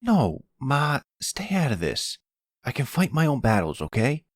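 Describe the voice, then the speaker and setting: Casting: male actor as a defiant young hothead in a mafia audio drama, whiny, pitched up, swooping intonation. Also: wildly swooping pitch, unhurried, dry recording. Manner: whiny, defiant, stammering when rattled. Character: hot-headed, panicky young adult son in mob trouble. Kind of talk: audio roleplay acting.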